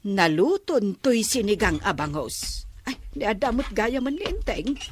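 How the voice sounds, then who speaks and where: A woman's voice: a little high, big pitch swings, unhurried, breathy edge, heavily acted. A woman with an Ilocano accent playing a doting but easily exasperated housewife in a radio comedy drama. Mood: contented, humming.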